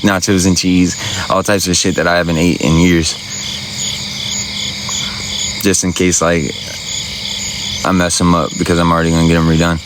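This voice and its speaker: A man with a very low voice chats to his viewers in a loose, rambling, slangy way. He is streaming from a phone outdoors at night, with crickets and insects loud behind him. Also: background noise. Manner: laid-back, rambling, slangy. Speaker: livestreamer. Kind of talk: casual livestream chat answering viewers.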